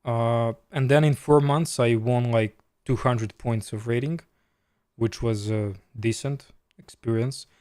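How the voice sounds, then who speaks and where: Chess streamer, man, Serbian accent, mid pitch, dry close mic, chatty live commentary. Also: steady tone, measured pace, warm tone, no room sound. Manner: chatty, reads chat aloud and answers while playing.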